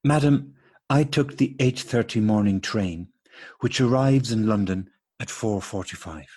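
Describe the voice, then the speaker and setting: Courteous, bemused gentleman for absurdist radio comedy, male actor. Low, rough-edged voice, formal phrasing. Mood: polite puzzlement. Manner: formal, deliberate, mock-astonished. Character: courteous, puzzled English gentleman meeting a lady. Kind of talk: absurdist stage dialogue read as radio play.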